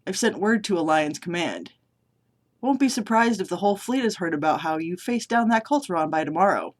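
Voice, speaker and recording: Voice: medium pitch, animated, fairly quick, close to an everyday voice. A vlogger reading aloud and voicing an older male military commander who is smug and manipulative. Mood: smug commanding. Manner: raised, louder and more forceful than own talk. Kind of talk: reading a story scene aloud.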